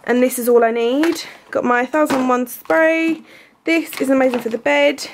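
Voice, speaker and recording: A woman's voice: sits high, steady tone, normal speed, slight background noise. A British woman in her twenties chatting casually to camera in an informal, self-deprecating way, like a home vlogger. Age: 20s. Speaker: cleaning and lifestyle vlogger. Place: indoors at home, living room and kitchen.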